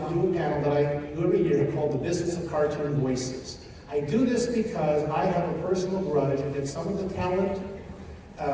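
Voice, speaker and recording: A man's voice: on the high side, dark tone. A man hosting a panel, making informal stage announcements with wry, dry humor. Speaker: panel host and moderator. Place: large convention panel room, indoors. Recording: echoey convention hall, distant, noisy.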